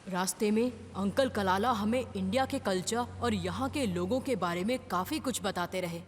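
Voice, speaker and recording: A woman's voice: medium pitch, normal speed, a little hiss or hum, natural voice. A woman voicing a cheerful, food-loving young boy in a dubbed children's cartoon, eager and wide-eyed, with music playing behind. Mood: cheerful storytelling. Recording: clear music bed behind the voice.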